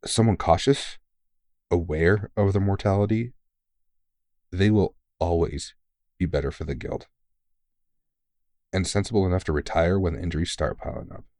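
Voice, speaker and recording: Man playing a deep-voiced, weary veteran vampire hunter. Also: normal speed, pauses often, no room sound, clean studio sound. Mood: encouraging, wry. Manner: reassuring, gently teasing, informal, sweary. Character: kindly, weary veteran male vampire hunter mentoring a rookie. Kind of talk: intimate ASMR audio roleplay.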